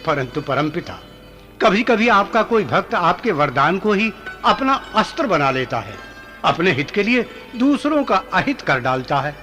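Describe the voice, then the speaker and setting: Celestial sage, high bright voice, theatrical, respectful, questioning, mythological radio play. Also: male voice, sing-song, measured pace, heavily acted. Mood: respectful concern. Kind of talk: mythological radio drama acting. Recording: studio radio play with music behind.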